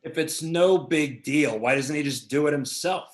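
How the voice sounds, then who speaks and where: A man's voice: fairly high pitch, moderate pace, lightly acted. A man voicing Rusty, a surly, crude tough guy, in a livestreamed script reading. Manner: gruff, crude.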